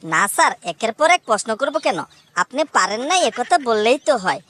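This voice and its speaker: A woman's voice: fairly high pitch, big pitch swings, brisk, fairly bright tone, no echo. A woman voicing cheeky, argumentative schoolchildren in a comedy cartoon. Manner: cocky, argumentative. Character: cheeky schoolchildren answering back to their teacher.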